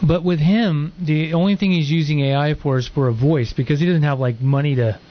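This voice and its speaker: A man's voice: fairly high pitch, a little fast, dull audio. A man guesting on a radio show, talking in a casual, rambling way with lots of fillers. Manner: rambling, lots of ums and likes. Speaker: guest co-host.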